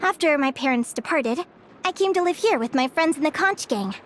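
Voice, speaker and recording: A woman's voice: high voice, lively intonation, normal speed. An actress voicing an earnest, cheerful young winged girl who is not human and talks eagerly. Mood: storytelling. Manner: cheerful, eager, a bit flustered at times. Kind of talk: video game voice acting. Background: game music.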